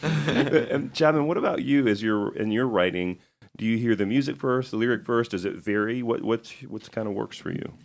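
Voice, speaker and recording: A man's voice: medium pitch, moderate pace, vocal fry. A man hosting a podcast interview, asking questions in rambling, restarting bursts. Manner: asks rambling multi-part questions, laughs easily. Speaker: podcast host.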